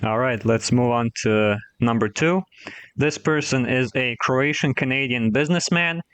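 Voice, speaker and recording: A man acting as guest quizmaster on a podcast, explaining each question casually in a measured way. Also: medium pitch, fairly bright tone, smooth, no room sound. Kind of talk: casual quiz hosting.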